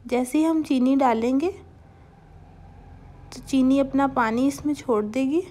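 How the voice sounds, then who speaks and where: A young woman hosting a home cooking channel, calmly talking viewers through a recipe step by step and mixing English cooking terms into her speech. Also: high-pitched, a little slow. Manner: calm, instructive, mixes English cooking terms into Hindustani speech.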